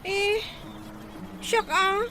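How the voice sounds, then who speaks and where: Young farm kid in a radio serial, extremely high, slow, plaintive. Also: female voice, little pitch movement, background noise, exaggerated voice. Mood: defensive, pleading. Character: young, plaintive sibling in farming family. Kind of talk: radio drama acting.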